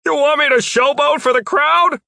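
A man voicing an excitable young male wizard in an audiobook, loud and incredulous, with casual slangy talk. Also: high-pitched, fairly quick, no echo, studio quality, pitched above the performer's own voice, full character voice. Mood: incredulous, agreeable.

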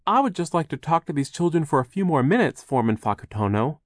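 A man's voice: on the high side, very animated, quick pace, no room sound, pitched above the performer's own voice. A man voicing a kindly, timid mill partner in an audiobook, polite and anxious to please. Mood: timid, placating.

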